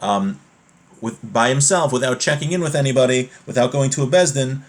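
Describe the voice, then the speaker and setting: A man's voice: mid-range voice, brisk. A man giving an explanatory class on religious law, reading from a text and restating each point in a didactic way. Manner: didactic, repeats and rephrases points with examples. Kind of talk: explanatory text study, reading passages aloud then explaining.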